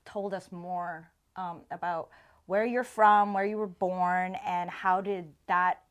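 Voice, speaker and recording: A woman's voice: lowish voice, steady tone, takes it slowly. Woman hosting a laid-back interview show, chatty and informal, kinda effusive. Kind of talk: casual conversational interviewing.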